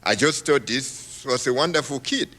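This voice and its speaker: Guy from cameroon asking a question at a talk, lots of restarts, bright lively voice, echoey hall. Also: medium pitch. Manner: halting, many restarts, laughs while talking. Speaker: audience member. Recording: reverberant hall.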